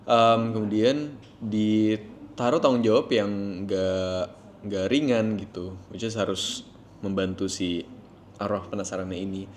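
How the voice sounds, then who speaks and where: A man's voice: lowish voice, steady tone, unhurried. A man giving a casual interview as an actor, hesitantly searching for his words. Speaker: actor promoting a film. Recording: faint background noise and ambience.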